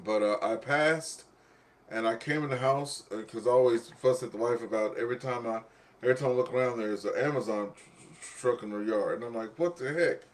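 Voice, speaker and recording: A man's voice: medium pitch, brisk. A man hosting an amateur radio net in a casual, rambling, chatty way, often repeating himself. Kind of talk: casual ham radio chat.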